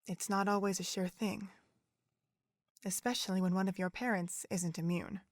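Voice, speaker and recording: Actress as calm motherly pony doctor lady, medium pitch, gentle, deliberate, really dry audio drama sound. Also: dry recording, lightly acted. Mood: reassuring, solemn.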